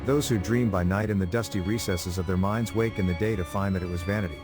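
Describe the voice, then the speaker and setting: A man recites literary passages in a slow, solemn manner, his voice sitting fairly low. Soft instrumental music runs beneath him. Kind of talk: reading literary quotations. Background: faint music.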